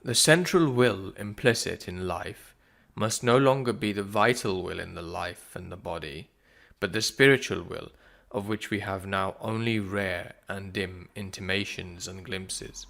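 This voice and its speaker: A man reads philosophical prose as a solemn, measured narration, pausing deliberately between phrases. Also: low-pitched, creaky, fairly bright tone. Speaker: narrator of a spiritual audiobook. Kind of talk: scripted reading of philosophical prose.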